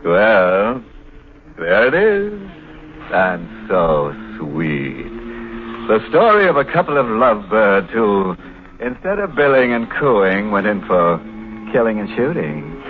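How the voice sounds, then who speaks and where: A man's voice: fairly low pitch, sing-song, slow, a little hiss or hum. An actor playing a ghoulish, mock-sweet horror host, sardonic and gleeful, drawing out his words in 1950s radio style. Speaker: host of a horror anthology show. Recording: tinny 1950s radio recording with music.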